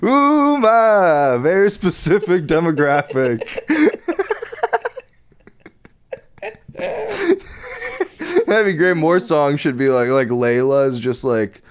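A man's voice: high-pitched, very animated, drawn-out words, long pauses. A man in casual late-night comedic chat, riffing jokes and laughing often. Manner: giggly, riffing, frequent laughter.

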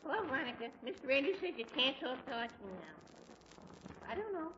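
An actor playing a slow, goofy, dim-witted teenage boy in 1940s radio acting. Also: extremely high pitch, sing-song, sharp tone, heavily acted. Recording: dull, hissy 1940s radio recording.

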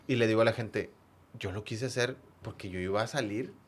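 A man with a northern Mexican accent chatting casually and telling anecdotes as a podcast guest, in a halting way with words repeated for emphasis. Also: lowish voice, slightly rough. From Monterrey area, northern Mexico. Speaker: podcast guest, football fan.

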